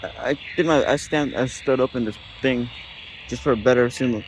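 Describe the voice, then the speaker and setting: A man hosting a video with casual, off-the-cuff commentary, halting and often repeating himself. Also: mid-range voice, faint music behind, rough recording. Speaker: vlog host.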